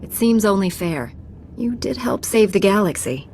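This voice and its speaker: Game lead, female actor: Jedi hero, medium pitch, calm, earnest, resolute. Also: normal speed, a little hiss or hum, natural voice. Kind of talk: video game acting.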